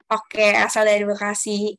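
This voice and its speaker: A young woman leading an online group discussion as facilitator, politely reading out rules one by one with soft fillers over a video call. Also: mid-range voice, completely flat delivery, a little slow. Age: young adult.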